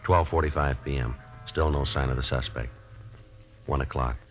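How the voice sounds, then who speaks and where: An American actor playing a terse, no-nonsense police detective in a 1950s radio drama, deadpan and businesslike. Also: male voice, a bass voice, normal speed. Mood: flat, matter-of-fact. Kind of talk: 1950s radio drama acting, clipped procedural narration. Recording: muffled vintage radio recording, music cues.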